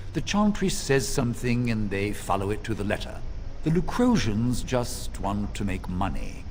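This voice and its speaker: An actor playing a genial, worldly senior mage in a fantasy game, explaining things in a wry, conversational way. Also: male voice, fairly low pitch, darkish tone, a little hiss or hum. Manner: conversational, explanatory, wryly resigned.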